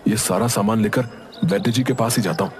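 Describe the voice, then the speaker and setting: A man's voice: fairly low pitch, a little fast, natural voice. A man playing an earnest, determined young village son in a Hindi cartoon story, speaking respectfully. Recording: music behind the voice.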